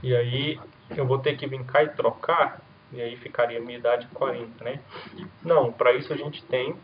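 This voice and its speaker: A man teaching an introductory programming class, explaining step by step in a casual way and often checking in with "right?". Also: medium pitch. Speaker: programming instructor. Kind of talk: recorded coding lesson with screen demo. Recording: roomy, dull lo-fi sound, faint hiss.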